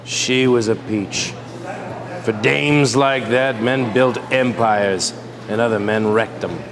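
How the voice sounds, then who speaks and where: A man's voice: mid-range voice, steady tone, takes it slowly, a crowd in the background. A man reading a vintage hard-boiled romance passage aloud.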